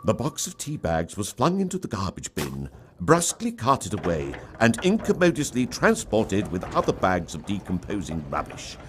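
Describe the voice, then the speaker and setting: A man reading a story as a dramatic narrator, with a theatrical, mock-grave delivery and deliberate dramatic pauses. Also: fairly low pitch, huge pitch swings, very noisy.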